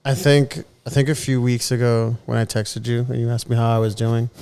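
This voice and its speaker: An earnest man in his thirties, speaking at a middle pitch in a loose podcast conversation. He often doubles words as he works out what to say, and his tone is open and sincere. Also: level tone, fairly quick, dark tone, clean tone. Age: 30s. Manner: earnest, repeats words as he gathers his thoughts. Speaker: podcast co-host. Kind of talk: casual heartfelt chat.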